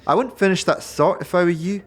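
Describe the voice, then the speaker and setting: A man's voice: sits high, a little fast, voice pushed up, close to an everyday voice. A man voicing a cagey, scrappy young female thief with snappy underworld slang in a fantasy audio drama. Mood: wry.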